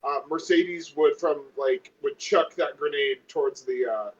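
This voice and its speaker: A man's voice: on the high side, unhurried. A man playing a tabletop game, thinking aloud hesitantly as he plans in casual chat. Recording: dull lo-fi voice recording.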